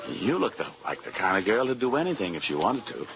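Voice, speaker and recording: An actor playing a cynical, smooth-talking insurance investigator with an American accent in a wry, deadpan 1940s radio drama style. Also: male voice, lowish voice, fast, dull audio, noisy background. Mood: sly, casual. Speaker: lead actor and narrator. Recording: vintage 1940s radio recording, music behind.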